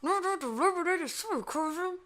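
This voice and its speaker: A woman's voice: very high-pitched, lively intonation, brisk, very noisy. A woman voicing a stubborn, plain-spoken country farm mare with a folksy Southern US accent in a fan audio story. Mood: flustered. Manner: folksy, exclaiming.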